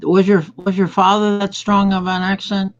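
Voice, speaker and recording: Older male, fairly high voice, hesitant conversational delivery, muffled online-call sound. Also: completely flat delivery, moderate pace. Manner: hesitant, repeats himself. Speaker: chat participant. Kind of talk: casual group chat. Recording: dull online call audio.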